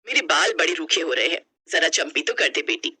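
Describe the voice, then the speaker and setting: An older mother-in-law played by a woman storyteller, the fond head of a big household. She speaks in a bright, forceful voice and teases her family with affection, in animated storybook style. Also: low voice, a little fast, slightly rough, exaggerated voice. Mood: coaxing.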